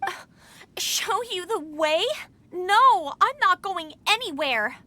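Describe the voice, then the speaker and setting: A woman's voice: extremely high pitch, expressive, slow pace, bright, forceful tone, heavily acted. An actress playing a frightened, snappish young woman in a video game, defensive and whiny in manner. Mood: scared, irritated.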